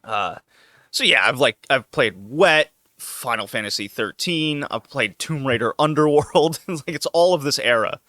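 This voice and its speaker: A man's voice: medium pitch, expressive, moderate pace, sharp tone, no echo, studio quality. A man hosting a casual podcast, loose and joking, laughing often as he talks. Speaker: podcast host. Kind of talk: casual chat.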